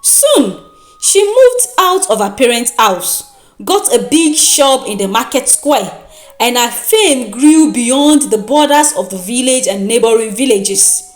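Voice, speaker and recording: A woman with a Nigerian accent narrating a folktale in a dramatic, expressive and deliberate storytelling manner. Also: fairly high pitch, faint music behind. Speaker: storyteller and host.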